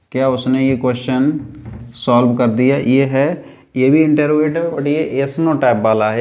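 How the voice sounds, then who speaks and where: A man's voice: medium pitch, a little mellow. A man with an Indian accent teaching an English grammar lesson step by step, repeating phrases and answering his own questions. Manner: didactic, repeats phrases and asks himself questions then answers.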